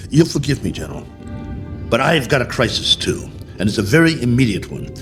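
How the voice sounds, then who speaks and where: A man's voice: mid-range voice, big pitch swings, brisk, natural voice. Wry stranded astronaut colonel over swelling music, man acting. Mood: bitter, sardonic. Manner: clipped, dryly sardonic. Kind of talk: radio drama acting.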